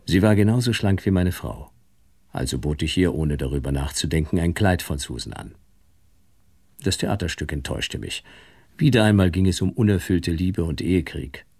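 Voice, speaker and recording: An actor playing a worldly, detached married man, narrating and speaking in a radio drama with a husky voice. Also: low-pitched, expressive, normal speed, a little mellow, no room sound, studio quality. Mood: reflective. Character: worldly, detached married man recounting his own story. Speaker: narrator and male lead. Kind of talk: first-person radio drama narration and dialogue.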